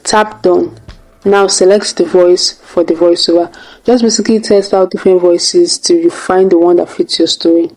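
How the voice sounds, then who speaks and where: A woman's voice: on the low side, fairly even intonation, moderate pace, darkish tone, soft background music, rough recording. A woman hosting a tutorial, narrating step by step in a casual way. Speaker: tutorial host.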